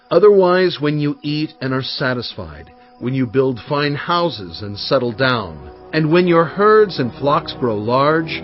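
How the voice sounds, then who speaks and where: Fervent, animated prophet exhorting his people. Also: on the high side. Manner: emphatic, oratorical, deliberate phrasing. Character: Moses, solemn, impassioned male prophet exhorting his people. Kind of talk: dramatised scripture reading. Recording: dull, band-limited audio with music behind.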